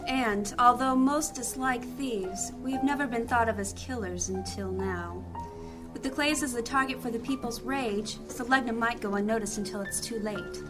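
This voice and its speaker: A woman playing a serious guild thief, speaking deliberately in a fantasy audio drama. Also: fairly high pitch, music in the background, lightly acted.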